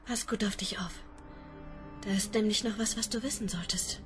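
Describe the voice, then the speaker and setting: A woman's voice: mid-range voice, steady tone, normal speed, over music, close to an everyday voice. An actress playing a worried, caring young woman in a radio drama, speaking with tender concern.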